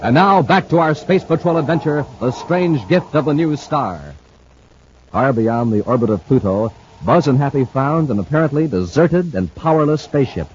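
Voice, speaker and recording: A man's voice: a little high, lively intonation, normal speed, slight background noise. A man announcing a 1950s radio serial with scripted, dramatic and emphatic delivery. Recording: muffled old-time radio recording.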